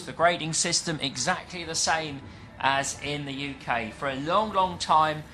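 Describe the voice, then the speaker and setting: A British man giving live commentary on a stock car race, chatty and explanatory, pausing often with fillers. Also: medium pitch, bright, forceful tone. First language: English. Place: at a dirt track event.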